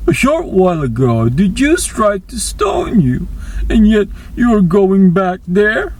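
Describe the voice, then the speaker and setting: A man's voice: high-pitched, sing-song, slow pace, a little mellow, voice pushed up, heavily acted. A man voicing anxious, questioning male disciples of Jesus in a dramatized scripture reading. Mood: worried, questioning.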